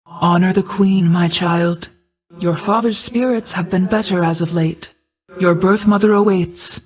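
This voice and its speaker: A woman playing a calm, motherly goddess of night in a video game, speaking in a measured, formal and stately manner. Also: fairly low pitch, close to an everyday voice. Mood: composed, encouraging. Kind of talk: scripted video game character lines.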